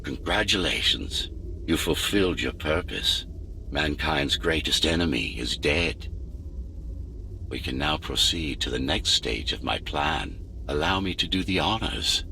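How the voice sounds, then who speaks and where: An actor playing a cold, scheming AI creator in a video game, speaking in a measured, condescending, commanding manner. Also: male voice, a bass voice, expressive, vocal fry, sharp tone, a little hiss or hum, heavily acted. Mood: smug triumph. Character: cold, scheming male AI creator, domineering and arrogant.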